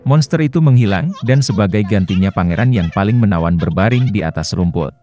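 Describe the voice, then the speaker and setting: A man with a low, mellow voice reads a bedtime fairy tale for children. His delivery is lilting, and his phrases often lift at the start. Faint music plays beneath him. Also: expressive, fairly quick. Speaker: storyteller. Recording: soft music underneath.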